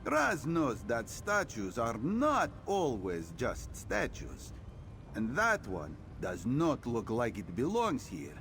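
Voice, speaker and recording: An actor playing a sly, thoughtful cat-folk scout in a video game, theatrical and musing in manner. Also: male voice, mid-range voice, wildly swooping pitch, takes it slowly, fairly bright tone, faint music behind, exaggerated voice. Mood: musing, puzzled. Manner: theatrical, musing, refers to himself in third person. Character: sly, thoughtful cat-folk male scout, speaks of himself in third person. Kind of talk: video game character dialogue.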